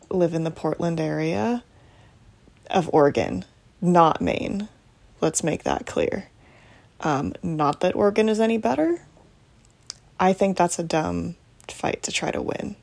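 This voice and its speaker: A woman in her twenties hosting a casual solo podcast from her bedroom late at night, talking low and hushed with lots of ums and restarts. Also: gravelly. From United States. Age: 20s. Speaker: podcast host.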